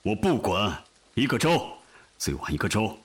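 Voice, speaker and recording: An overbearing general manager in a radio drama. His voice is deep and husky, and he speaks bluntly and demandingly. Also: sing-song, normal speed. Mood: demanding, irritated. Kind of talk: Mandarin audio drama acting.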